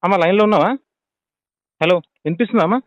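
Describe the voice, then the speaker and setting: A man's voice: high-pitched, rapid-fire, no echo. A man with a Telangana Telugu accent speaking as a police officer on an urgent phone call, insistently repeating short questions and instructions. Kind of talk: urgent emergency phone conversation. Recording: close dry phone call recording.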